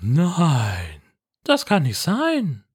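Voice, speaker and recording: Man putting on an earnest, high-voiced girlfriend. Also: huge pitch swings, extremely slow, breathy edge, exaggerated voice. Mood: concerned. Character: earnest, concerned young woman, the heroine's girlfriend.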